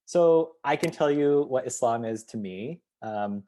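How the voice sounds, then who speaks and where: Male guest, community organizer. Mellow, relaxed timbre, reflective and searching delivery. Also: medium pitch, unhurried. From United States, Ohio. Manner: reflective, thinks aloud with many fillers and restarts. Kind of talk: interview answers.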